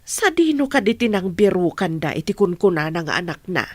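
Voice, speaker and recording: An actress playing a middle-aged village mother in a radio drama, worried, questioning and expressive. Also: fairly low pitch, fairly quick, dry recording. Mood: curious, concerned.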